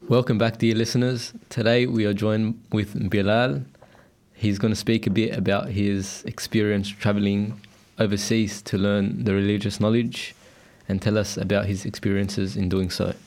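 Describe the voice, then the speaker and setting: A man hosting a studio interview, asking calm, measured questions. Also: fairly low pitch. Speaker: podcast host.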